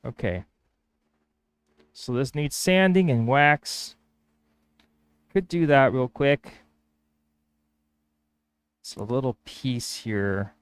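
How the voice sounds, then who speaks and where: Casting: male woodworker with Spanish as his first language. Smooth, mid-pitched voice, close dry sound, rambling workshop talk. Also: very slow, long pauses, dry recording. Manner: thinking aloud, hesitant restarts. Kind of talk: casual workshop vlog narration.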